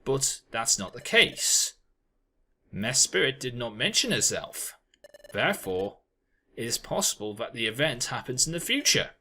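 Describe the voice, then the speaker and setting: A performer voicing a large, calm ghost detective from a game who speaks formally and reasons things out step by step. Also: mid-range voice, pitched below the performer's own voice, heavily acted. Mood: reasoning. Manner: slow, deliberate, reasoning aloud.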